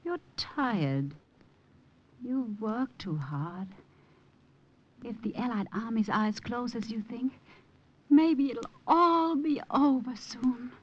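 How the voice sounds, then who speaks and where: An actress playing a nervous, devoted young German woman, soft and hesitant, in 1950s radio drama style. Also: fairly high pitch, animated, takes it slowly, long pauses. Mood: tender, worried. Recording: dull, noisy old radio broadcast.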